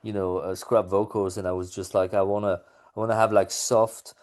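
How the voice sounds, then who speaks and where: Low-voiced man, rambling musician guest. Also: level tone, moderate pace, a little mellow. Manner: rambling, many restarts and fillers. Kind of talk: casual interview chat.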